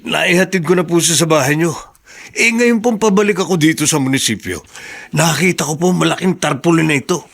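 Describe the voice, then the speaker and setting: A loyal, deferential male aide in a radio drama, urgent and excitable as he reports to his boss. His voice sits fairly high for a man and is quite gravelly. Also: a little fast. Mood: urgent, respectful. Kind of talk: Tagalog radio drama acting.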